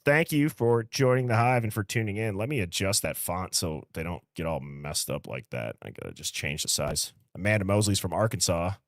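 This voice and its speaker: A man in his early thirties with an American accent and a low-pitched voice hosts a live stream. He reads out comments and rambles off into casual asides. The sound is dry, with very little room around the voice. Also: lively intonation, a little fast, hardly any pauses, no room sound. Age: early 30s. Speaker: livestream host.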